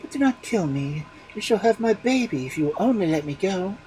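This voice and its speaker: A fussy, demanding young wife in a storybook tale, voiced by a storyteller. Her voice is pitched high above the narration, and she complains, pleads and gives orders. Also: lively intonation, normal speed, a little mellow, slight background noise, lightly acted, rough recording. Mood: pleading.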